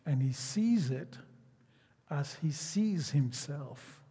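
Husky slow-preaching man. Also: fairly high pitch, lively intonation, warm tone, big-room sound. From Nigeria. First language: Yoruba. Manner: slow, emphatic preaching with drawn-out phrases and repetition. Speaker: pastor. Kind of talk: sermon.

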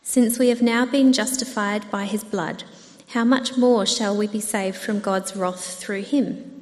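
Female scripture reader, medium pitch, measured delivery, long church reverb. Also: steady tone, a little mellow. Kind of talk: reading scripture aloud. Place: church hall.

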